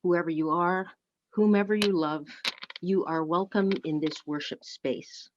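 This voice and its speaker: A woman leading a church service, speaking and reading in a measured, deliberate way. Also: on the low side, background noise. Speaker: worship service leader. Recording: dull, lo-fi online audio.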